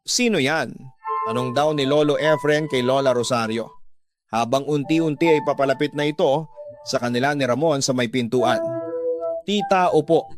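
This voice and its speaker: A man giving scripted narration as the storyteller of a radio drama. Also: mid-range voice. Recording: music bed under the voice.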